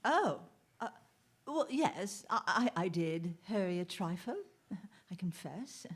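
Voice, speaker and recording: A woman playing a genteel, polite lady in a Victorian radio mystery, with theatrical and sometimes halting speech. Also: lowish voice, lively intonation, slightly rough, exaggerated voice. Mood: affected, polite. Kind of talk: radio drama acting.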